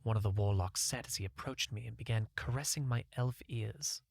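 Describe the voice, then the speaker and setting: A man's voice: lowish voice, a little fast, creaky, no echo, clean studio sound. A man narrating a first-person fantasy audiobook in a scripted storytelling style.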